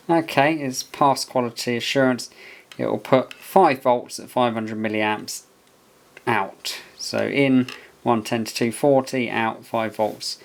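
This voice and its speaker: A man's voice: mid-range voice, vocal fry. A man giving a casual product review, musing aloud as he inspects things piece by piece. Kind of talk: casual unboxing and review commentary.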